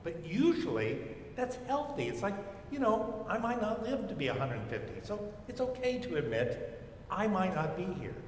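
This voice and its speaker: A man's voice: sing-song, moderate pace. An older man speaking reflectively as a psychotherapist on a discussion panel. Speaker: panelist, psychotherapist and former chaplain. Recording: echoey hall, rumbling background noise.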